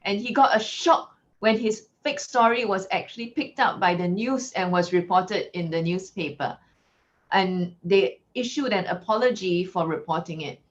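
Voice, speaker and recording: A woman with a Singaporean English accent delivers an online lecture in a clear, measured, teacherly manner. Her voice sits in a middle pitch. The sound is a little muffled and lo-fi, as over a video call. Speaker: guest lecturer.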